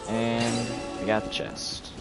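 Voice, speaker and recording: A man's voice: mid-range voice, little pitch movement, slow. A man giving casual live commentary on a video game, thinking aloud as he plays. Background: video game music.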